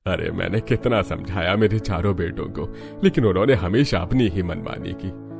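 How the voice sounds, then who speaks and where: A man voicing an ailing, worried old father-in-law farmer in a cartoon story, gravelly and apologetic. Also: low-pitched, a little mellow. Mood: remorseful. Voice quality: gravelly, coughing. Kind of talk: Hindi cartoon story voice acting. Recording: music bed under the voice.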